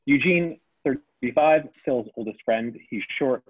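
Husky man reading a script, phone-like sound. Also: mid-range voice, a little slow. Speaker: stage-direction reader. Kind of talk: reading script aloud at table read. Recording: thin, phone-like, band-limited sound.

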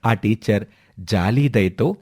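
A man's voice: fairly low pitch, takes it slowly, a little mellow, husky. A man narrating a scripted moral story for children as a storyteller.

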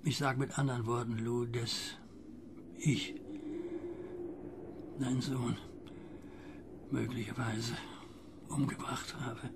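A frightened old neighbour weighed down by guilt in an audio drama. His speech is very slow and halting, with a gravelly creak and a tendency to sink toward a whisper. Clear ambient noise lies behind him. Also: mid-range voice, level tone. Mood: guilt-stricken, pleading. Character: old, fearful, guilt-ridden male neighbour confessing dread. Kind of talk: German radio drama acting. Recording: noisy ambient background.

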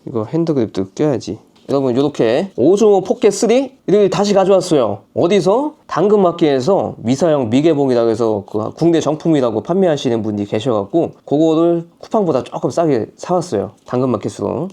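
Chungcheong dialect guy unboxing stuff, mellow relaxed voice, chatty, mutters to himself. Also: a little high, normal speed, few pauses. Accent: Chungcheong Korean dialect. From Chungcheong, Korea. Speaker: vlogger. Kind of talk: casual unboxing vlog.

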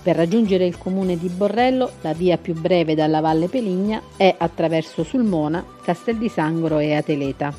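Low-pitched woman narrator, mellow, over music. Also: normal speed, few pauses. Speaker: TV segment host and narrator. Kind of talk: scripted travel feature narration. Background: music bed.

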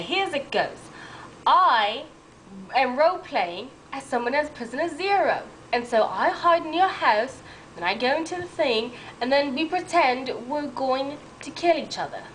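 A woman voicing a defensive, exasperated alien villain in an amateur puppet show, explaining haltingly. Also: high-pitched, lively intonation, a little hiss or hum, poor audio.

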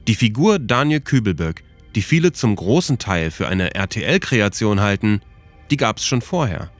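A man's voice: lowish voice, very animated, unhurried, dry recording, faint music behind. A man reading scripted narration as the host of a documentary podcast.

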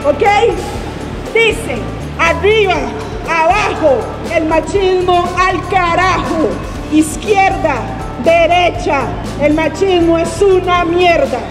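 A woman's voice: very high voice, slow, music in the background, low-quality recording. A woman playfully rehearsing a protest chant with marchers. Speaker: chant leader. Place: outdoor street march.

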